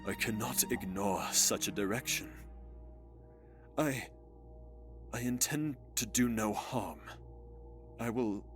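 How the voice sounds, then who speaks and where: Male actor as anguished young nobleman for a game: hesitant, pleading, slow, with big swings in pitch. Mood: anxious resolve. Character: anguished, devout, fearful young count. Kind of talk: dramatic visual novel voice acting.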